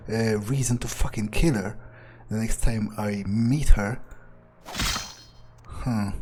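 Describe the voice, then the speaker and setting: Male gamer for in-character playthrough narration. Medium pitch, musing and casually profane, slight background noise. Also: mellow.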